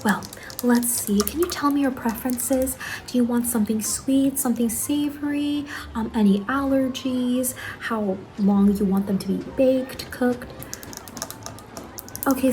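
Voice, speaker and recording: A woman plays an eager young saleswoman at a macabre fair stall in an ASMR roleplay. Her voice is gentle and a bit high, with hesitant, apologetic customer-service politeness. Some noise sits behind her. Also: normal speed, natural voice. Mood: apologetic, welcoming.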